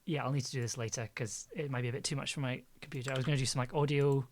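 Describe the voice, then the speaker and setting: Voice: mid-range voice, steady tone, very fast, a little hiss or hum. A livestreamer chatting casually and thinking aloud to themself while working as an audio editor. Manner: thinking aloud, muttering to self. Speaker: streamer, audio drama editor.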